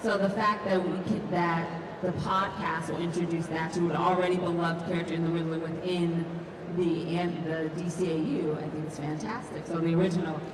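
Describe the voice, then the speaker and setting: An enthusiastic woman hosts a live panel with a fairly low voice. She is picked up from far off in a big, echoing hall with clear background noise. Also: fairly even intonation, a little fast. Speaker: panel moderator. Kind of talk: hosting a live convention panel. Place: large convention hall panel. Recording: echoey noisy hall, heard from far off.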